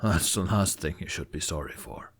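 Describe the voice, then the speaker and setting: A man voicing a stern, gruff, protective father who is a king in a fantasy story. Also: low voice, normal speed, pitched below the performer's own voice. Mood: wistful. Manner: scolding, commanding, protective.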